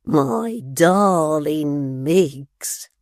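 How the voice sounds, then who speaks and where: A woman's voice: medium pitch, lively intonation, takes it slowly, no room sound, studio quality, voice pushed up. A woman voicing a vain young male apprentice, urgent and coaxing.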